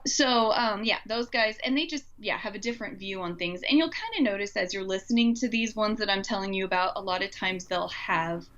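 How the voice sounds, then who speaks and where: A woman's voice: medium pitch, a little fast, hardly any pauses, fairly bright tone, lo-fi sound. A woman chatting informally and enthusiastically, recommending things in casual conversation.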